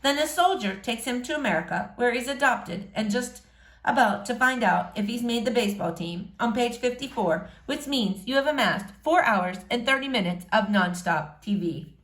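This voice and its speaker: A woman's voice: a little high, expressive, normal speed, a bit bright. A woman narrating a novel aloud expressively, like a teacher reading to pupils. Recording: roomy, echoing room sound.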